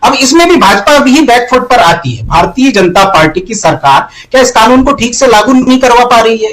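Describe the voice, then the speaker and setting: A man's voice: high voice, a bit bright, rough recording. A man arguing combatively as a panelist in a televised political debate, pressing rhetorical questions.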